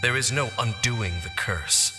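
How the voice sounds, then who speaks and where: A man voicing a cursed, world-weary wanderer in a video game, speaking in a measured, solemn, stoic way. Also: medium pitch, expressive, lightly acted. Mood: grave, scornful. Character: cursed, world-weary centuries-old male wanderer, grave and cynical. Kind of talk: video game cutscene voice acting. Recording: long hall reverb, music behind.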